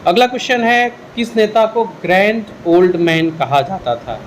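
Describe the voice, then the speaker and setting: A man with a high-pitched voice teaches in a question-and-answer style. He explains each answer and drops in English words as he goes. A steady air-conditioning hiss sits faintly behind him. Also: a little slow. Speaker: exam-prep history teacher.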